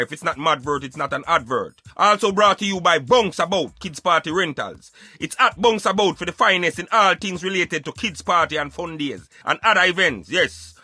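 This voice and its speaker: A Jamaican man hosting a casual talk show in patois, animated and emphatic as he addresses his listeners. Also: high-pitched, dry recording, clean studio sound. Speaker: radio show host.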